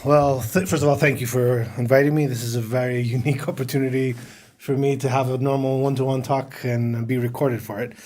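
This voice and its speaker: Middle-aged man, raised in Greece, podcast guest, anecdotal storytelling, average pitch. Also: level tone, fairly quick, a little mellow. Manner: storytelling, anecdotal, laughs while talking. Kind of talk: casual interview chat.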